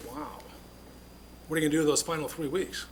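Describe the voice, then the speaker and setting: A man's voice: on the high side, little pitch movement, brisk, slight background noise. A man chairing a city council meeting, interviewing exchange students in a jokey, upbeat way.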